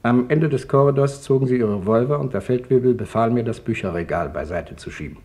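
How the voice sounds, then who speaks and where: A man's voice: medium pitch, fairly quick, darkish tone, natural voice. An actor playing an office manager who gives a grave, orderly account of his wartime arrest in a radio drama. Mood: grave, restrained. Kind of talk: German radio drama witness monologue.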